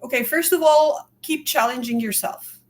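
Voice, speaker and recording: A woman speaking in a somewhat high voice. She talks earnestly and candidly as a podcast guest, often repeating words and qualifying herself as she goes. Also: normal speed. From immigrant to Canada. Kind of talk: candid conversational interview.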